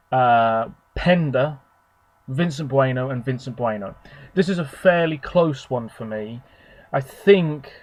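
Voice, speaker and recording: Slightly hoarse man rambling about favourites. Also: medium pitch, no room sound. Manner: rambling, chatty, opinionated. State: hoarse, sore throat. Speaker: video host. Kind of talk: casual solo commentary.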